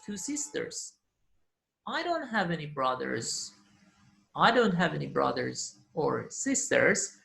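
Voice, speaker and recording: A man's voice: on the high side, creaky, a bit bright. A man teaching an English class, repeating model phrases and example answers. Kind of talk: classroom lesson. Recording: roomy echo.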